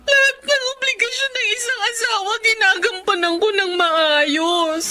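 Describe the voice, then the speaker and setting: A woman's voice: extremely high pitch, unhurried, over music. A woman playing a hurt, indignant betrayed wife with a Filipino accent, tearful and scolding in a melodrama. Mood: heartbroken. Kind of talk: Filipino melodrama radio-style acting.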